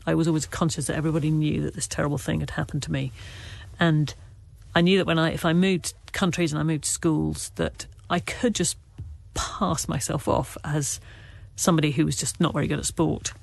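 A man from Wales being interviewed as an author, speaking with a stammer in halting, earnest, reflective stories full of restarts. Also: a little high, fairly even intonation, creaky, no echo. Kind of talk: candid conversational interview.

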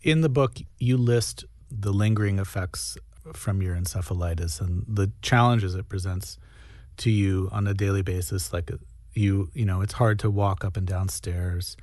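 A man interviewing an author, asking questions in a gentle, sympathetic way. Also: deep voice, normal speed, no room sound, studio quality.

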